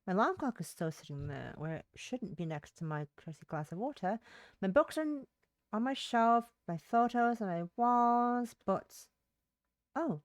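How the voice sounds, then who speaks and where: A woman's voice: on the high side, animated, normal speed, dry recording. A woman livestreamer reading a visual novel's narration aloud, stumbling over words and rereading phrases, with brief casual asides.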